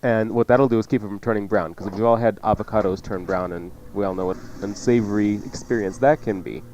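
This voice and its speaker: A man's voice: fairly low pitch, steady tone, fairly quick, a little mellow, muffled recording, a little hiss or hum. A man hosting a cooking show on the radio, chatting casually and jokingly as he gives folksy recipe instructions. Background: music under the talk.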